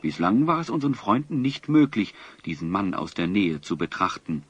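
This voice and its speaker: A man playing the storyteller of a fantasy audio drama, narrating in a measured, suspenseful scripted style. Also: on the low side, sing-song, dull audio, slight background noise.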